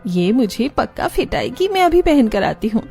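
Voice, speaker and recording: A woman plays a young cartoon wife with a high, pleading voice. She sounds childlike and whiny as she delivers scripted story dialogue. Soft music runs underneath. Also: very animated, moderate pace. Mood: eager. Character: plaintive young overweight wife, childish and food-loving.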